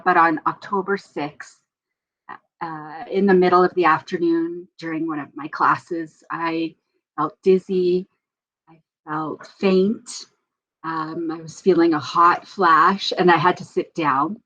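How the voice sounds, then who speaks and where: A woman's voice: low voice, steady tone, slow pace, fairly bright tone. A teacher in her fifties giving a matter-of-fact account in an interview. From Saskatchewan, Canada. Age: 50s. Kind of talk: interview recollection. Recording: slightly dull, muffled sound.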